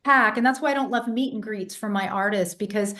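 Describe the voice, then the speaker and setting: A woman's voice: mid-range voice, brisk. A woman raised in England, a vocal coach telling stories in a casual interview, sounding congested. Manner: animated storyteller, demonstrates vocal sounds. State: congested, voice less clear than usual.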